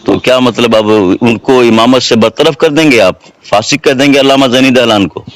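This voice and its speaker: A man's voice: mid-range voice, moderate pace, no room sound. A man on a recorded phone call, formally debating religion, deferential yet insistent, pressing his points with rhetorical questions. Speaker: caller questioning a mufti.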